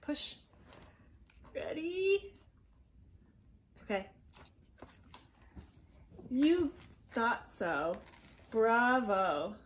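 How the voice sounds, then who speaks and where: A woman with a fairly high voice reads a picture book to small children at a very unhurried pace. She is gentle and encouraging, often pausing to ask questions. It is a home recording with faint noise in the room. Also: very animated. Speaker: teacher reading a picture book aloud. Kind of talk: interactive read-aloud to young children.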